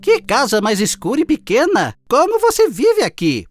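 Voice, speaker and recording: A woman's voice: high-pitched, huge pitch swings, moderate pace, faint music behind. A woman with a Brazilian accent voicing a boastful, disdainful male city mouse in a children's cartoon fable. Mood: snobbish, boastful.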